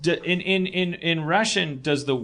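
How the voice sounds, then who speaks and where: A man's voice: fairly high pitch, moderate pace, gravelly, crowd noise. An American man hosting a comedy show, chatting with guests in a rambling, stammering, stop-start way.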